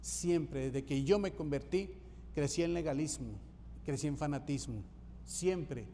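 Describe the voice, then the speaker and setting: Pastor preaching in a hall. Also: male voice, a little high, unhurried, warm tone, slight background noise. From Colombia. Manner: emphatic preaching, repeating key phrases for rhythm. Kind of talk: sermon. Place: church sanctuary.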